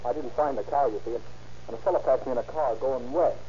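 A man's voice: medium pitch, fast talker, warm tone, lightly acted. An actor playing a folksy young hired man from a ranch in an old radio drama, stammering and rustic in his phrasing. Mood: nervous, eager. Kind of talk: 1930s-40s radio drama acting. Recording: muffled, noisy old-time radio recording.

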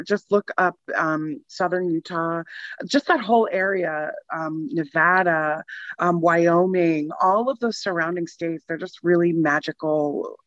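A woman from the Boston area, chatting as a podcast guest, laughing often and filling pauses with ums. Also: on the low side, dry recording, dull audio. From Boston area, Massachusetts. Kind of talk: casual interview chat.

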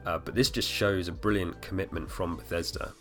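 A man in his thirties hosting a podcast alone, slightly hoarse, rambling with fillers and restarts. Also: low voice, steady tone, fairly quick, fairly bright tone, faint music behind. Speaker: podcast host. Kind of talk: casual solo podcast talk.

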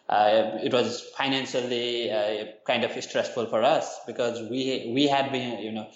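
A young man from Nepal answers an interviewer with frequent ums, uhs and restarts, his voice mid-pitched. The audio is dull and roomy, as if captured remotely in an echoey room. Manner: hesitant, many fillers and restarts. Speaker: university lecturer and interview guest.

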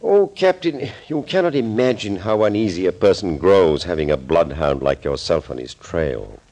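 An actor playing a suave, smug villainous doctor in a 1940s radio thriller, speaking politely and wordily. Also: male voice, low-pitched, animated, normal speed, darkish tone. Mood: smug, amused. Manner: polite, wordy, theatrical confessing.

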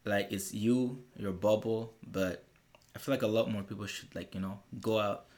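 Young male podcast host, low voice, easygoing, prompting questions. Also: steady tone, moderate pace, darkish tone. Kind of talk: casual interview chat.

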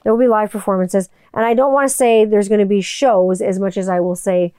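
A woman's voice: medium pitch, steady tone, brisk, warm tone, clear, resonant tone, no echo, clean studio sound. A woman who does voiceover and music work, talking to her viewers in a rambling, chatty way that includes casual swearing. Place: home room with people upstairs.

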